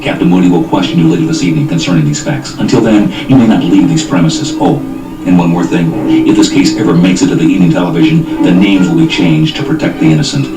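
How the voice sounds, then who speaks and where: An actor playing a stern senior police detective, clipped, officious and deadpan, in a parody of old police radio drama. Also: male voice, low voice, quick pace, distant mic, lightly acted. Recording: roomy cassette copy with loud music.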